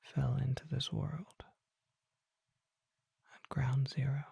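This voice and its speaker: Slow whispering vampire apothecary, acted by an ASMR performer. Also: mid-range voice, flat delivery, no echo, full character voice. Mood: hushed, reverent. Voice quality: breathy whisper. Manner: slow, formal, deliberate, hushed. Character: mysterious ancient vampire apothecary, calm, courtly, knowing. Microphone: close microphone.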